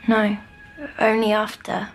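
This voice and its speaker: An actress playing a timid young girl troubled by nightmares in an audio drama, speaking hesitantly and softly. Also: medium pitch, completely flat delivery, faint music behind, rough recording. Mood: timid, uneasy. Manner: hesitant, halting, softly descriptive. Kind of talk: scripted audio drama acting.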